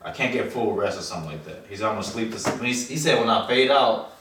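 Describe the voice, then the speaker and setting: A man's voice: fairly low pitch, lively intonation, fast, noisy background. A man in his thirties talking loosely and casually about a film, with slang and emphatic swearing. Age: 30s. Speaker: film review podcast co-host. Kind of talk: casual chat, film review. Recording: roomy echo, distant microphone.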